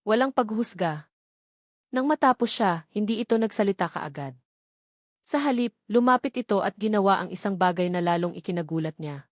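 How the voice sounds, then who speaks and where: A woman's voice: medium pitch, lively intonation, moderate pace, no room sound, clean studio sound. A woman reading a scripted dramatic love story as its narrator.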